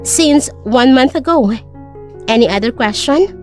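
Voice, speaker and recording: A woman's voice: high voice, expressive, slow, a little mellow, soft background music. A woman voicing a cool, firm young company owner in an audio drama. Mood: composed, assertive.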